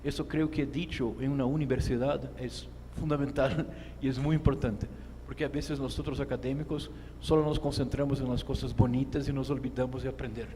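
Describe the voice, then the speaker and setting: Brazilian academic lecturing in an echoey hall. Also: male voice, medium pitch, fairly even intonation, brisk, dark tone. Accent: Brazilian Portuguese. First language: Portuguese. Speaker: academic conference speaker. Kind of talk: formal lecture read from a text. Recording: echoey hall with clear background noise.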